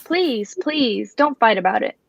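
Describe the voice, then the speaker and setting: Woman as an anxious, genteel, high-voiced lady. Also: animated, measured pace, a bit bright, dry recording, full character voice. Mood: pleading. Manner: polite, fretful. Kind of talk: 1940s radio drama acting. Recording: muffled with clear background noise.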